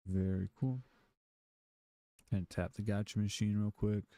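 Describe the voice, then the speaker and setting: Man, very low voice, gravelly, slow and relaxed, dry close mic. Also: dry recording. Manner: repeats words aloud, laid-back and unhurried. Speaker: livestream learner. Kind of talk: casual livestream chat.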